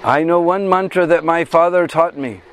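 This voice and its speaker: A man's voice: on the high side, moderate pace, slight background noise, lightly acted. A man voicing a confident woman healer, a god in disguise, in a told devotional story. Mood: commanding. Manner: urgent, commanding.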